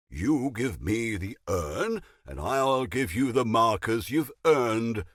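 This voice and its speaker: A man's voice: medium pitch, fairly bright tone, slightly rough, dry recording, studio quality, heavily acted. A man playing a middle-aged, pompous, scheming naval captain and shady fence in a video game, theatrical and unctuous as he savors his words. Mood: oily, jovial. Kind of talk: video game character dialogue.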